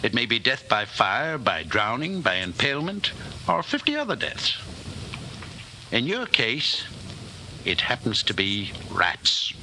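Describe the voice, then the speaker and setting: An actor playing a cold, controlling party inquisitor in a 1940s radio drama, commanding, deliberate and menacingly calm. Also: male voice, medium pitch, exaggerated voice. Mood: calm menace. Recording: noisy old 1940s radio broadcast.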